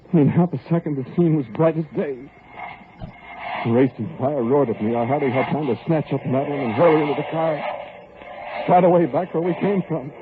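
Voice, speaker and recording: A man narrating a 1940s radio horror drama in the first person, intense in the chase and hushed afterwards. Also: mid-range voice. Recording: tinny old radio broadcast with music.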